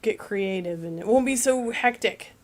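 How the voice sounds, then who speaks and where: A woman's voice: medium pitch, normal speed. A woman co-hosting a livestream, chatting upbeat and reading out viewer comments. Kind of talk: casual chat.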